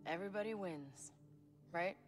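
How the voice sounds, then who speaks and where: A woman's voice: fairly low pitch, moderate pace, soft background music, close to an everyday voice. An actress playing a wry, guarded young woman scoundrel in a video game. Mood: dry, skeptical.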